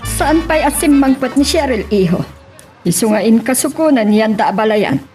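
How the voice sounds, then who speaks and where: A woman playing an older, conciliatory mother in an audio drama, with a husky voice, an Ilocano Filipino accent and a gently advising manner. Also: a little high, lively intonation, normal speed, soft background music, lightly acted.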